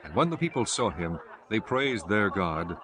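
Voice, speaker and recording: A man's voice: lowish voice, very animated, normal speed, background noise. A man giving a scripted narration of scripture as narrator of an audio drama.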